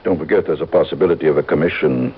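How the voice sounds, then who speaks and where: Fussy, husky insurance executive. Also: male voice, very deep voice, fairly even intonation, racing. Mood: agitated, insistent. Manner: prim, punctilious, insistent. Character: fussy, stingy, anxious male insurance company executive. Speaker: supporting actor in a radio drama. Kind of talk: mid-century American radio acting. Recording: tinny, band-limited old radio recording.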